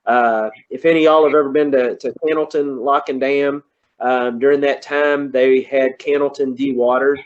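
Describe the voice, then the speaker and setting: A man's voice: mid-range voice, steady tone, normal speed, smooth. Folksy Kentucky man on a dull video call. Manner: folksy, conversational, says y'all. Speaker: guest presenter, engineer. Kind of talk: online presentation. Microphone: computer on a video call.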